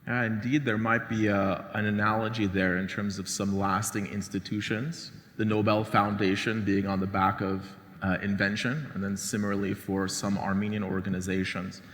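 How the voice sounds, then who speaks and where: A man hosting an onstage interview, asking measured questions with a few fillers. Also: on the low side, flat delivery, fairly bright tone. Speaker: interview host. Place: large hall. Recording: echoey hall with long reverb.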